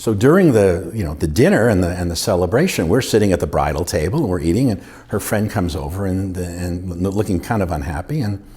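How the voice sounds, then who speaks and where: A man telling a wedding memory in an animated, halting way. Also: deep voice, breathy edge. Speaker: interviewee. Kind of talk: casual personal storytelling.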